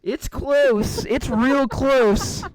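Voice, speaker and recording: A man hosting a podcast, with a raspy voice and a plugged-up nose, swearing and laughing in casual chat. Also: very high-pitched, drawn-out words, a little hiss or hum. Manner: profane, laughing, voice cracks. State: stuffy nose. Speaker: podcast host.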